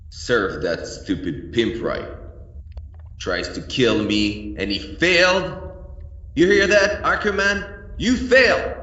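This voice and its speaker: A man's voice: mid-range voice, slow pace, bright, forceful tone. An actor playing an arrogant, desperate man lost underground, talking to himself theatrically in a radio horror drama. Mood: gloating. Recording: echoey cavern reverb, distant, muffled, noisy.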